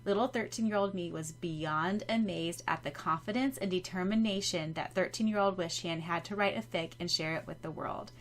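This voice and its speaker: A woman's voice: lowish voice, moderate pace, few pauses, slight background noise. A woman from the United States co-hosting a podcast, chatting casually and reading out comments in an effusive, giggly manner. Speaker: podcast co-host.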